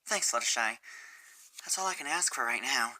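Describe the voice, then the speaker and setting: A woman voicing a dejected, guilt-ridden teenage girl in a fan story reading, downcast and halting. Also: rumbling low, lively intonation, pushed and bright, exaggerated voice. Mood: tentative hope.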